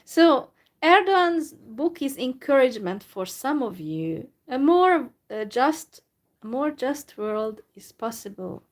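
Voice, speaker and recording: A woman from Hungary with a Hungarian accent, talking in a live video monologue in a downcast, resigned way, full of hesitant pauses. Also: high-pitched, very animated. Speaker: YouTuber.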